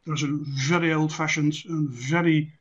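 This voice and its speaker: A man with a Dutch accent, a native Dutch speaker, presenting an online lecture in a measured, deliberate, didactic way. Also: fairly high pitch, fairly even intonation. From Netherlands. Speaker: presenter, intelligence specialist. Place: online presentation with screen share. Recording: dull lo-fi online call audio.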